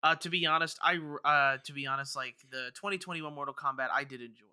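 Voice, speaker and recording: A man co-hosting a podcast in casual chat, often halting and restarting his phrases. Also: a little high, fairly even intonation, bright, forceful tone, clear, resonant tone, no room sound. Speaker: podcast co-host.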